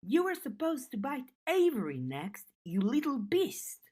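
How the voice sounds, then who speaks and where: A woman's voice: sits high, huge pitch swings, a little slow, a little mellow, voice pushed up, full character voice. A woman voicing a blunt, restless teenage girl with a wry streak in an audiobook. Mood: indignant. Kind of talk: audiobook character dialogue.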